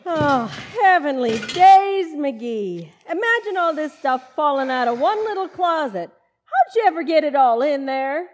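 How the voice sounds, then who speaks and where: A woman playing a long-suffering, practical wife in an old-time radio comedy, wry and gently chiding. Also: high-pitched, lively intonation, measured pace, a little hiss or hum. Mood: exasperated.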